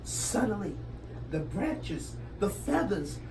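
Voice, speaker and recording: Animated woman reading a storybook aloud. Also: medium pitch, slow pace. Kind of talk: expressive interactive picture-book read-aloud. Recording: roomy, noisy, distant microphone.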